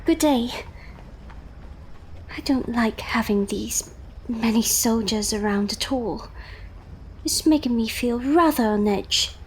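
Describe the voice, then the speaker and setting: An actress plays a genteel young Victorian lady in an audio drama. Her voice is high and unhurried, prim and careful, with an anxious temper. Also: slight background noise, low-quality recording. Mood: uneasy. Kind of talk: period audio drama acting.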